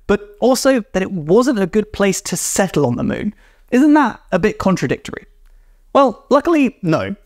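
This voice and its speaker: A young man hosting a science explainer, talking to camera with clear, enthusiastic delivery from a small room. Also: high-pitched, dry recording, studio quality. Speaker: science video host. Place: small indoor room with shelf of models.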